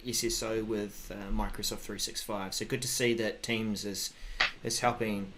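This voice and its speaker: A man in his forties co-hosting a tech news show, talking casually in a halting, conversational way. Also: on the low side, flat delivery, fairly bright tone, a little hiss or hum. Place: home office, video call.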